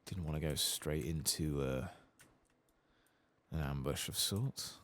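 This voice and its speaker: A soft-spoken man giving rambling ASMR commentary as he plays a game, sometimes dropping into a whisper. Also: a bass voice, takes it slowly. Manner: rambling, musing to himself; often drops into whispers. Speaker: host of a gaming playthrough channel.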